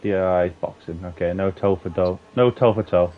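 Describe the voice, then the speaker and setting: A man with a very low voice calls a sim racing qualifying session in a loose, sweary, bantering way. The audio is dull and lo-fi, with a faint hiss under it. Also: normal speed. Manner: sweary, excitable, banter-heavy. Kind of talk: casual sim racing commentary.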